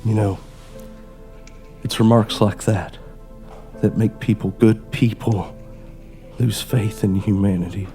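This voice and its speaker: A man's voice: fairly low pitch, steady tone, slow pace, pauses often, mellow, soft background music. A man playing a weary, embittered, protective father of a sick child in an audio drama, intense and emotional. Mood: bitter, angry. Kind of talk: dramatic audio play acting.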